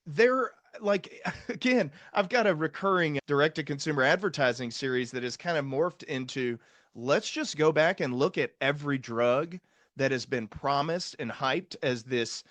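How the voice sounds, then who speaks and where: A man hosting an interview, talking in an animated way and stringing together rhetorical questions. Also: on the high side, moderate pace, no room sound. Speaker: podcast host. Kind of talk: conversational interview.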